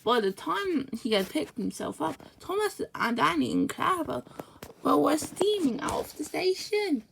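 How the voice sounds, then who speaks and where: A high-voiced young-sounding reader reading a children's storybook aloud, stumbling and repeating words along the way. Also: expressive, moderate pace, gravelly, fairly bright tone, slight background noise, poor audio.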